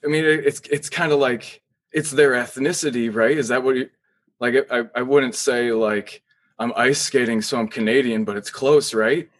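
A man's voice: mid-range voice, fairly even intonation, normal speed, natural voice. A man playing an anxious, pleading young wizard in an improvised comedy sketch, stammering and repeating his words.

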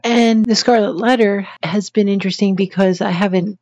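A woman's voice: mid-range voice, fairly even intonation, moderate pace, a little mellow. Woman co-hosting, slightly dull lo-fi sound. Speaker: podcast co-host. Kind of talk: casual conversational podcast discussion.

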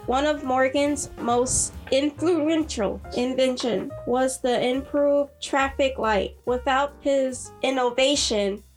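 A woman presenting a scripted history segment on a podcast. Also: sits high.

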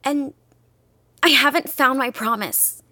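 A bright, high-pitched young girl in naturalistic audio drama. She is petulant and anxious, quick to argue and slow to commit. The recording is dry and close. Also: no echo, exaggerated voice. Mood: frustrated. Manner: petulant, hesitant, argumentative. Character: capricious, anxious young girl, sullen and questioning.

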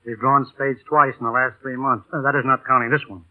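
An actor playing a kindly, easygoing radio operator in a 1940s radio drama, talking sympathetically. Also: male voice, medium pitch, little pitch movement, close to an everyday voice. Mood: gentle, wry. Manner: sympathetic, unhurried, conversational. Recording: tinny, band-limited old radio broadcast.

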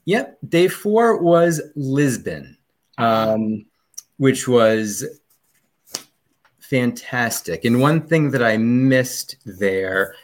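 A man's voice: medium pitch, lively intonation, extremely slow. A man as a podcast guest in casual chat, rambling about a trip with many ums and restarts.

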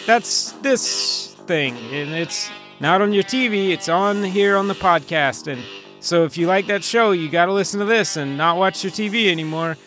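A man's voice: sits high, pushed and bright. A man hosting a casual podcast, bantering in a rambling, dryly joking way. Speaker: podcast host.

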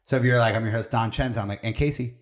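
A man co-hosting a podcast in casual chat, with a slightly raspy voice and dry comebacks. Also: mid-range voice, fast talker. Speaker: podcast co-host.

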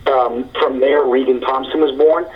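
A man's voice: medium pitch, fairly even intonation, normal speed, recorded from a distance, highs cut off. A man in his forties, a screenwriter being interviewed, talking thoughtfully with many fillers and restarts. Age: 40s. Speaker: screenwriter guest. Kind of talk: interview conversation.

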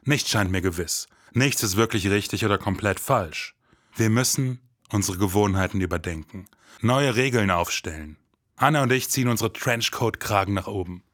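A man's voice: lowish voice, a bit bright, no echo, studio quality. A man co-hosting an investigative podcast, moving between scripted dialogue and narration.

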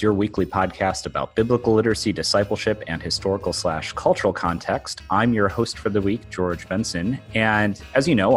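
Low-voiced male podcast host, casual, a bit halting, faint music bed. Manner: hesitant, stumbling over words.

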